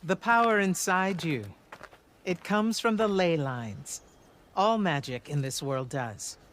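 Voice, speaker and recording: An actor playing a commanding male battlemage mentor in a video game, speaking in a measured, grave, explanatory way. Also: high-pitched, animated, fairly bright tone, a little hiss or hum, heavily acted. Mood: patient, instructive. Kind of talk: cinematic game dialogue.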